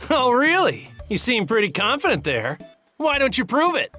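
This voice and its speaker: A woman's voice: a little high, very animated, normal speed, pushed and bright, faint music behind, heavily acted. A woman voicing an easygoing, cheerful male fighter and grandfather in an anime game, folksy and playfully coaxing.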